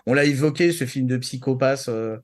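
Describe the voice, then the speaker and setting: A man's voice: medium pitch, moderate pace. A man giving his opinions as a panelist in a casual discussion, in a colloquial, insistent way that repeats words to make a point. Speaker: show panelist.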